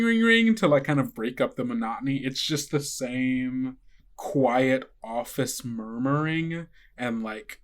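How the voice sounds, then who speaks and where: A game master with a mid-pitched, androgynous voice improvises scene description for a tabletop roleplaying game. The delivery is casual and conversational, feeling its way haltingly through each detail.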